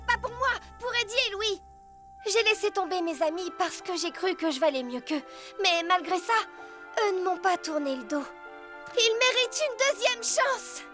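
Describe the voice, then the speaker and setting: A woman voicing a headstrong, feisty young bug in a cartoon, speaking in a brash, emphatic, informal way. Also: very high voice. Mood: pleading. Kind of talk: animated cartoon dub acting. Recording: music behind the voice.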